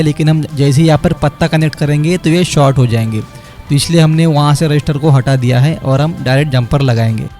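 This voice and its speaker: A man, a phone repair technician narrating a step-by-step repair tutorial over his work. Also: on the high side. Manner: explanatory, step by step, addresses viewers as friends. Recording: quiet background music under voice-over. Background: light background music.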